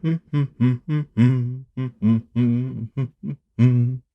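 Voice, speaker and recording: A man's voice: mid-range voice, steady tone, very slow, dull audio. A man playing a sassy, self-absorbed fantasy villain in an audio drama, with a theatrical, drawling and melodramatic delivery. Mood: playful, dramatic. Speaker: fan audio drama voice actor and narrator. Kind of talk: theatrical in-character outtakes.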